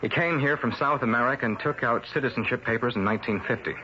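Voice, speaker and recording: A man's voice: mid-range voice, fast, sharp tone, lightly acted. An actor in an old-time radio drama playing a stubborn, indignant crusading newspaperman, insistent and suspicious of authority. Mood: grim conviction. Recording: muffled old radio recording.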